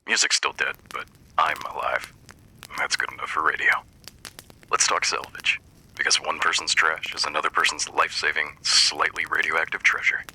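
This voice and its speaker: A man playing a wry, easygoing radio host in a post-apocalyptic wasteland, delivering scripted monologue with deadpan, folksy humour. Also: extremely low pitch, normal speed, husky, heavily acted, low-quality recording. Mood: wry, upbeat.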